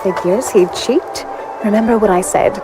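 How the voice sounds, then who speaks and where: A woman's voice: mid-range voice, normal speed, close to an everyday voice, low-quality recording. An actress playing a playful, teasing warrior lady in a fantasy audio drama. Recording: music behind the voice.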